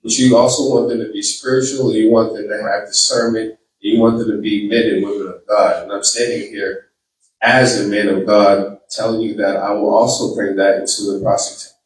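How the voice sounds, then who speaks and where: A man's voice: lowish voice, level tone, a little fast, slight background noise. A middle-aged man with a Boston accent giving an earnest campaign speech with a preacherly, repeating cadence. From Boston, Massachusetts. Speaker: political candidate. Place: community hall. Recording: echoey hall, mic from far off.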